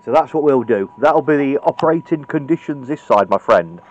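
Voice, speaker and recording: A man talks off the cuff through a hands-on demonstration, a little low in pitch. He is blunt and matter-of-fact but chatty. The sound is dull and lo-fi, as from a camera's own microphone. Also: lively intonation, a little fast. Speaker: hobbyist radio repairer demonstrating. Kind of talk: informal hands-on tutorial, off the cuff. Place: indoors at a cluttered workbench.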